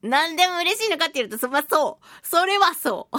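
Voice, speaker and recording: A woman with a high voice hosts a solo radio talk show in a close, dry sound. She swings widely in pitch as she reads out mail and reacts to it. Her manner is chatty, giggly and playfully over-the-top. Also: animated, brisk, pushed and bright, dry recording. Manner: playful, gushing, with exaggerated pleading.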